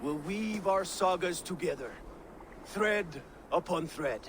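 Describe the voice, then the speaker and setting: An actor playing a confident Viking war leader in a game cutscene, assured, hearty and commanding. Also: male voice, high voice, animated, takes it slowly, background noise. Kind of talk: video game cutscene acting.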